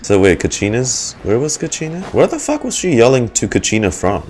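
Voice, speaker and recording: Very low-voiced woman reacting casually. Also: sing-song, a little fast, a little mellow, soft background music. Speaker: streamer reacting to a game. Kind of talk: casual reaction commentary with slang and swearing.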